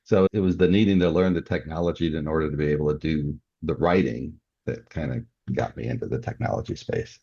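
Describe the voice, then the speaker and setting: Very deep, clear-voiced older man, muffled. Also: a little fast, hardly any pauses, warm tone. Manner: chuckles often as he talks. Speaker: interview guest, author. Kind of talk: casual podcast interview. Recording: dull, muffled lo-fi audio.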